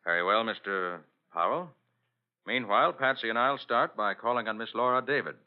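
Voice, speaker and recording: An actor playing a brisk, self-assured master detective in 1940s radio style, putting questions in a businesslike way. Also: male voice, fairly low pitch, loud music behind the voice, natural voice. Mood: brisk, composed. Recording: muffled old radio recording.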